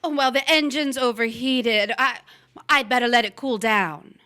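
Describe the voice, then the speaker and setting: An actress playing a cool, scheming wife who feigns innocence, in 1940s radio drama style. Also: female voice, a little high, normal speed, sharp tone, clean tone. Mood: feigned innocence.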